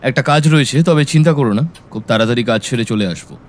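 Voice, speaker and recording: Male actor as private detective, audio drama, calm, measured, analytical, medium pitch. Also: natural voice. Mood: decisive. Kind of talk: audio story acting.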